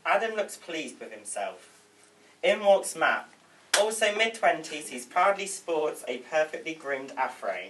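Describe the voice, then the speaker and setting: A man's voice: a little high, animated, a little slow, recorded from a distance. A man reading screenplay stage directions aloud at a table read. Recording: noisy room, away from the mic.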